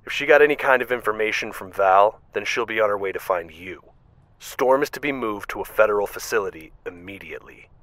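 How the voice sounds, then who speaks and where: A man's voice: lowish voice, brisk, fairly bright tone, no room sound, close to an everyday voice. A man playing a hard, hostile government operative in an audio drama. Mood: cold, urgent.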